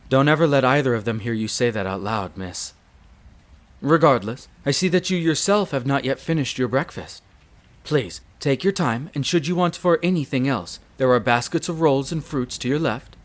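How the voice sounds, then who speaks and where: A man's voice: mid-range voice, lightly acted. A man voicing a courteous, attentive wolf butler with a formal, solicitous manner in an audio drama. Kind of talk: audio roleplay drama acting.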